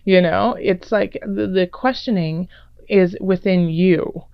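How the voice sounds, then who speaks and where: A woman reading tarot cards in a casual, rambling way, her voice raspy and a little hoarse from a recent illness, with many fillers and restarts. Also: fairly low pitch, takes it slowly, no echo, dull audio. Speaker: tarot reader.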